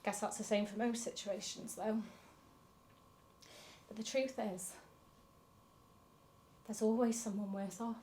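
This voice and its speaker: An actress plays a grieving granddaughter. She speaks at a middle pitch in a reflective, confessional film monologue. The location sound is noticeably noisy. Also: steady tone, measured pace, pauses often, dark tone, natural voice. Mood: wry, reflective. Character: grieving granddaughter reflecting on her fractured family. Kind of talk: dramatic monologue in a short film.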